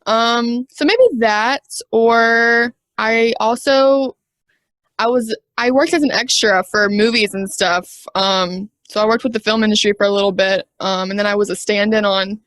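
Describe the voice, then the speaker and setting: A woman's voice: a little high, fairly even intonation, unhurried, a bit bright, no room sound. Young Southern woman, chatty and hesitant. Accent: mild Southern US. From Brunswick, Georgia. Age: young adult. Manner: chatty, hesitant, many ums. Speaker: wrestler guest. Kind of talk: casual interview answers.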